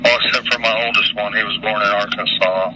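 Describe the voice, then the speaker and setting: A middle-aged American man from Utah giving informal interview answers in a halting, repetitive, folksy way. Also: on the low side, fairly even intonation, noisy background. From Utah, United States.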